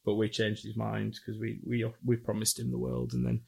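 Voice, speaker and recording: Casting: gravelly, husky-voiced man, 30s, laid-back podcast guest who swears casually. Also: lowish voice, monotone, moderate pace, darkish tone. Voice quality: raspy, gravelly. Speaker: podcast guest, band vocalist. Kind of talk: casual chat.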